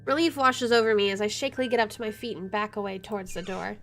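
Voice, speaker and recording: A woman gamer reads on-screen story text aloud with some drama. Her mid-pitched voice drops into playful, joking asides over faint music. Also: fast, fairly bright tone. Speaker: gaming video host. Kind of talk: playthrough commentary, reading game text aloud.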